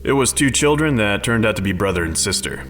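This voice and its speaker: A man's voice: lowish voice, lively intonation, a little fast, over music, natural voice. A man playing an unflappable, bookish advisor who explains odd theories matter-of-factly in a sci-fi audio drama. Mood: earnest, storytelling.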